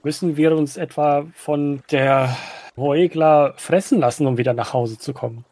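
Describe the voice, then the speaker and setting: A man's voice: a little high, a little slow, a little mellow, dry recording. A man playing a tabletop roleplaying game, improvising in-character dialogue in formal, wordy phrasing.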